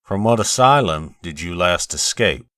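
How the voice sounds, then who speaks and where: Man playing a grave, low-voiced coroner. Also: expressive, bright, forceful tone, no echo, clean studio sound, full character voice. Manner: solemn, measured, formal. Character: grave, tranquil older male coroner, formal and official.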